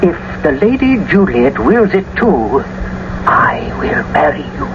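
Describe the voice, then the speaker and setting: An actor playing a kindly, teasing older friar in a classical radio drama, with an expressive, avuncular delivery. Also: male voice, a little high, measured pace. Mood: grave, hopeful. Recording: muffled, noisy old radio broadcast recording.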